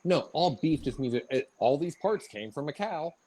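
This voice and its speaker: A man's voice: fairly high pitch, lively intonation, normal speed, a little mellow, a little hiss or hum. A man chatting casually as a show host, emphatic and mock-indignant about food. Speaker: live chat host. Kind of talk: casual banter.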